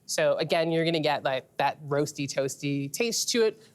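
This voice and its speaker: A woman's voice: sits low, moderate pace, clear, resonant tone. Trinidadian woman explaining beers. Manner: explanatory, relaxed, with fillers. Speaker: beer expert guest. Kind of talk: casual tasting chat.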